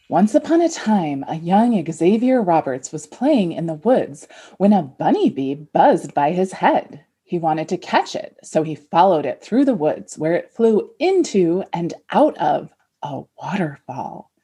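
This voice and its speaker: Woman doing an earnest fairy tale narrator, lowish husky voice, measured and dramatic. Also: sing-song, dark tone, heavily acted. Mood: earnest, wondrous. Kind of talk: dramatic storybook reading.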